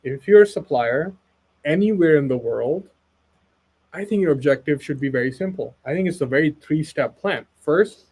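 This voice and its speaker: A man's voice: a little high, lively intonation, moderate pace, a little mellow, breathy edge. A man from Pakistan speaking as an expert guest in a casual webinar chat, earnest and persuasive, often tagging "right?" onto his points.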